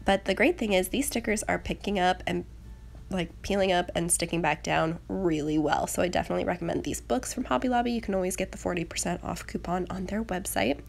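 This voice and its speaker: A young woman, slightly raspy as she gets over a cold, chattily narrating a craft video in a casual, self-deprecating way. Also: fairly low pitch, steady tone, fairly quick. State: getting over a rough cold. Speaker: planner channel host.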